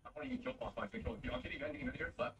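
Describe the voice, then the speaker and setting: Man rattling off rapid game callouts. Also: extremely high pitch, vocal fry. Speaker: streamer. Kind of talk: fast team callouts while gaming. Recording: distant mic with noise.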